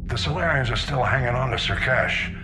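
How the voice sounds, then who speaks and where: A man's voice: mid-range voice, fairly quick, close to an everyday voice. An actor playing a stern male admiral giving a blunt war briefing in a video game. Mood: grave. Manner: clipped, grave briefing. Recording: roomy game audio over music.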